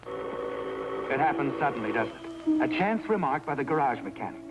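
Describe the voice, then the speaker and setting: An actor playing a sinister, all-knowing narrator who taunts a wrongdoer in a dramatic, insinuating 1950s suspense style. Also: male voice, medium pitch, wildly swooping pitch, normal speed, very noisy. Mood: ominous, taunting. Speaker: narrator of a crime anthology show. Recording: muffled old broadcast soundtrack with loud music. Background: dramatic background music.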